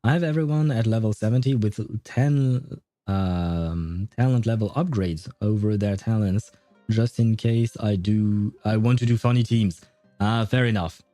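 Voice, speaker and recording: A man's voice: fairly low pitch, unhurried, mellow, no room sound, soft background music. A man livestreaming a video game, chatting casually with viewers in a conversational, meandering way.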